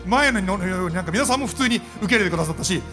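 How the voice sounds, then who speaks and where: A man speaks to a live event with a high, very animated voice. He is polite and searches for words as he gives thanks, with music faintly behind him. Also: rapid-fire. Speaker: cast member of a radio show. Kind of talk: thank-you speech on stage. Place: public event stage in a shopping complex.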